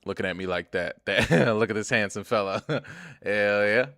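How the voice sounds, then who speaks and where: A man's voice: fairly low pitch, animated, normal speed, a bit bright, no echo, studio quality. A young man streaming a game, rambling and swearing as he chats to viewers about himself. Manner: rambling, profane, chatty, often laughs. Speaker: gaming streamer. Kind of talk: casual gameplay commentary.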